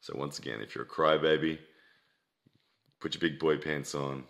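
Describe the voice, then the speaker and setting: Casting: man with an extremely low, hard-edged voice that is wearing out; blunt, scolding straight talk to an audience. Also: steady tone, moderate pace, vocal fry, fairly bright tone. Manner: blunt, scolding, emphatic repetition. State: losing his voice, throat-clearing. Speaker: competitive arm wrestler. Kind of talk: casual opinion monologue to viewers.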